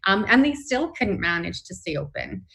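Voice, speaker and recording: A woman's voice: medium pitch, big pitch swings, moderate pace, creaky, pushed and bright, poor audio. Canadian woman explaining with earnest emphasis. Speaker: nurses' union representative, interview guest. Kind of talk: spoken interview, advocacy.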